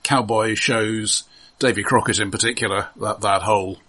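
A man co-hosting a casual film discussion, explaining in a halting, considered way. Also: on the low side, steady tone, sharp tone, slightly rough. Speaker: podcast co-host.

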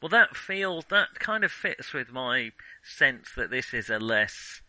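Bright, crisp-edged man in casual film chat. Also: mid-range voice, big pitch swings, dry recording, poor audio. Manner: halting, backtracking, thinking aloud. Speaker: podcast co-host.

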